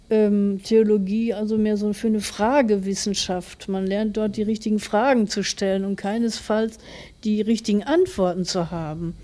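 Mellow-voiced, thoughtful middle-aged woman. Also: medium pitch. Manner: thoughtful, explaining in long winding sentences. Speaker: interviewed writer and theologian. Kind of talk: reflective interview talk.

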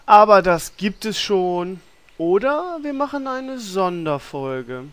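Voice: mid-range voice, sing-song, slow, smooth. A performer voicing a sheepish, evasive author figure in a fan audio drama. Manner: hesitant, evasive.

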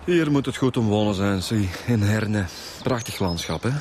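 A man plays an easygoing, observant Flemish detective in a radio crime serial. His voice sits slightly low and he talks conversationally. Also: normal speed, background noise, close to an everyday voice. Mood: calm. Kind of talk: radio drama acting.

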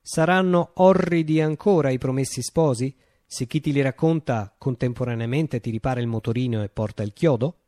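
An actor playing a visionary man recounting his utopian revolution in a declamatory, deliberate radio monologue. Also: medium pitch, dark tone, no room sound, studio quality, close to an everyday voice. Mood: fervent, visionary. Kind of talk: theatrical radio monologue, dramatic storytelling.

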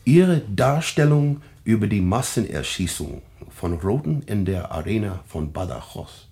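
A man's voice: on the low side, very animated, measured pace, warm tone. An actor playing a suspicious male official who questions a refugee in an insistent, probing way in a radio drama. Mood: interrogating. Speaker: immigration official.

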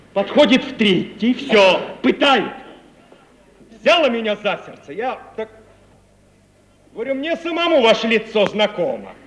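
A young man acting a lively, boastful merchant's son in an old theatrical radio play, telling things in an animated way. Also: extremely high pitch, full character voice. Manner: animated storytelling, bursting into laughter and exclamations. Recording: noisy 1950 radio play recording.

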